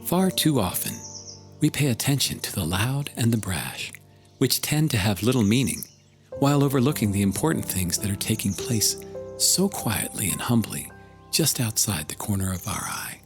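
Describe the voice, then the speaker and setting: Expressive man reading a contemplative passage. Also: lowish voice. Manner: measured, solemn, with long pauses. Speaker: author reading own book. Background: music.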